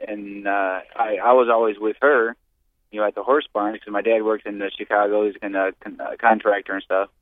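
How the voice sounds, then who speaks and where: A low-voiced American man reminisces casually as a radio caller. His delivery is halting, with stammered, repeated words. The sound is narrow and phone-like, dry and without room echo. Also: level tone. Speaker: radio call-in listener. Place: on a phone call to a radio studio. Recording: muffled phone-like call-in line.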